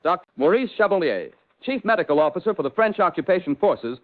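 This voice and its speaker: A man's voice: high voice, big pitch swings, fast. A man playing an earnest 1940s radio field correspondent, giving a formal, serious report over a filtered radio line. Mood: serious, urgent. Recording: tinny phone-like vintage radio line.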